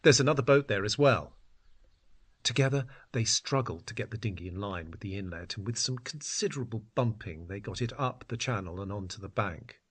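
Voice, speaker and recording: A man reading a literary story in an expressive, measured, dramatic style. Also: on the low side, slightly rough. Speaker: narrator. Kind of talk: dramatic literary story narration, acting out dialogue.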